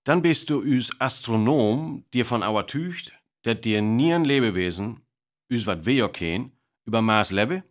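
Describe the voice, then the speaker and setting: A man with a North Frisian accent playing an earnest live radio reporter in a radio play, announcing formally and deliberately. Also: mid-range voice, animated, natural voice. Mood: earnest, attentive.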